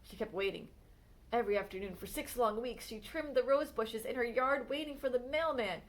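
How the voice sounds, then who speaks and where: Brief: female librarian, fairly high voice, animated children's story reader. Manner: expressive storytime reading, slowing on exciting moments. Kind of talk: children's read-aloud of a picture book biography.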